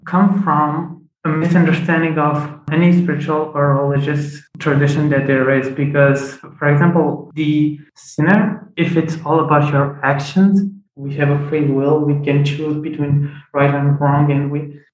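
A young man whose first language is Punjabi, a podcast guest, explaining his views in a thoughtful, measured way with frequent fillers. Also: on the high side, level tone, reverberant, low-quality recording. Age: young adult. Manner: thoughtful, measured, uses many fillers like "you know". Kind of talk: reflective conversational explanation.

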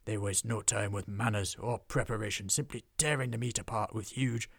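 A man voicing a fierce, burly male dwarf troll slayer who speaks slowly and menacingly. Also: lowish voice, steady tone, dry recording, studio quality. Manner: gruff, slow and menacing.

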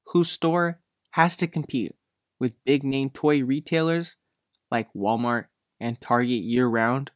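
A man narrating a scripted news feature as a reporter, speaking in measured, deliberate phrases. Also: mid-range voice, no echo, studio quality.

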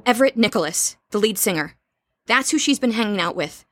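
A woman's voice: mid-range voice, expressive, fairly quick, over music, lightly acted. An actress playing an anxious, insistent young woman with an urgent, direct manner in an audio drama. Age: young adult. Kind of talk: naturalistic audio drama acting.

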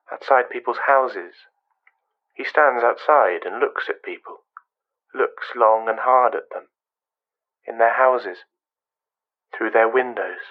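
An actor playing a wistful, controlling, quietly menacing man in a radio play, speaking in measured, fragmented phrases. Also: medium pitch, lo-fi sound, natural voice. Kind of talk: radio play monologue and dialogue.

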